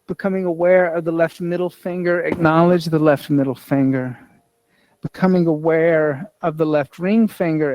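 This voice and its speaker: A man with a slightly high voice guides a meditation as a yoga nidra teacher. His phrasing is measured and repetitive, like step-by-step instruction. The sound is very dry, with no room echo. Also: darkish tone.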